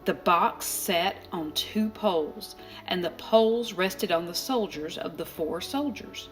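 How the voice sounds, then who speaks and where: A woman narrating a children's storybook aloud, expressively and deliberately, with dramatic pauses. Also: on the low side. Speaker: storybook reader. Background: music.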